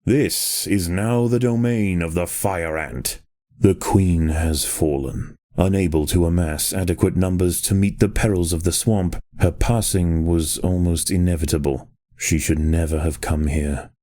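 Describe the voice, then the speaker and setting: A man reading scripted nature documentary narration in a measured, solemn and dramatic manner. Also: sits low, dark tone. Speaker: documentary-style game narrator.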